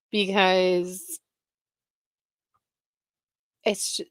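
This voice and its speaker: A woman's voice: medium pitch, little pitch movement, very slow, a bit bright, no echo. A woman giving casual, wry reaction commentary on a video, with critical asides. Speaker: reaction streamer.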